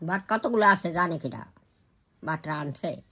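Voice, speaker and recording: A woman's voice: on the low side, lively intonation, moderate pace, fairly bright tone, husky. An older woman, a grandmother, chatting casually with family in an East Bengali accent.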